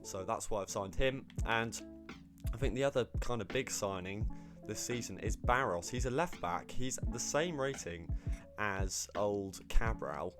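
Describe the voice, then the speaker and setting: A man, slightly hoarse, rambling casually about his football game squad with lots of ums and restarts. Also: on the low side, expressive, measured pace, music in the background. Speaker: gaming YouTuber.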